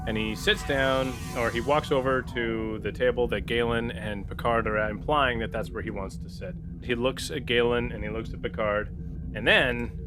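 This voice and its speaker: A man's voice: medium pitch, steady tone, normal speed. A man playing a tabletop roleplaying game, casually chatting and narrating what his character does. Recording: background music under the voice.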